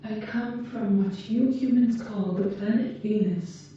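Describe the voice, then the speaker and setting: A very soft, dark-voiced female alien, acted by a woman, tends to a patient in an ASMR roleplay. She speaks slowly and gently, with formal, measured wording and a calm, caring temper. The recording is very echoey, as if at some distance from the mic. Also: medium pitch, close to an everyday voice. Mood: calm, matter-of-fact. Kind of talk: ASMR roleplay, scripted monologue to the listener. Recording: muffled, echoey, distant, faint noise.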